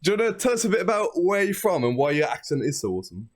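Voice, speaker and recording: A man's voice: high voice, very animated, very fast. A man hosting a gaming podcast, jokey and teasing, laughing often. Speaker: podcast host. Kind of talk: casual chat.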